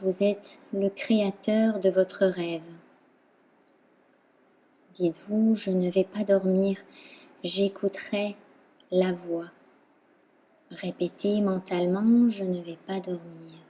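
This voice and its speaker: Very soft, dark-voiced woman guiding relaxation slowly. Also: mid-range voice, breathy edge. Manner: calm, soothing, with long pauses between phrases. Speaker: yoga nidra instructor. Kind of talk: guided relaxation meditation.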